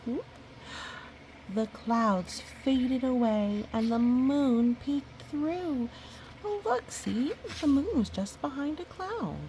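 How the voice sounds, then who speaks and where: A woman reading a children's picture book aloud, gently, breaking off for musing, chatty asides to a young listener. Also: sits high, animated, takes it slowly, slight background noise, poor audio. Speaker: storybook reader.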